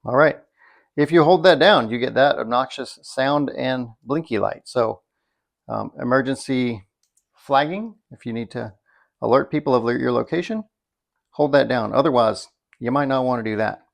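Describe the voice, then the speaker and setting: A man giving a casual, unscripted product demonstration in a folksy, wry way, thinking out loud as he goes, with a slightly gravelly voice. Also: mid-range voice, moderate pace. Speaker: product reviewer.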